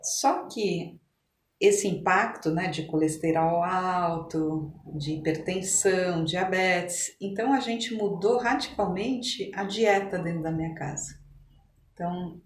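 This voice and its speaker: A woman's voice: lowish voice, slow pace, a little hiss or hum. An older woman with a São Paulo Brazilian accent, telling her life story conversationally as an interview guest. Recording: roomy echo, noticeable background ambience.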